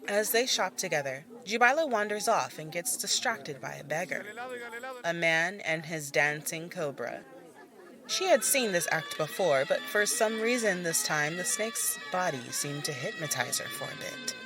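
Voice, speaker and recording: A woman narrating a fantasy audio story in a measured, soft-spoken manner. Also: on the low side, sing-song, a crowd in the background. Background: faint music.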